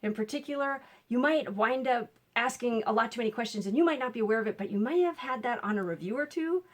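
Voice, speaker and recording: A woman's voice: a little high, fast talker. Chatty Minnesotan woman coach. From Minnesota, USA. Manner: chatty, upbeat, with little laughs and fillers. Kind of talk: casual direct-to-camera talk.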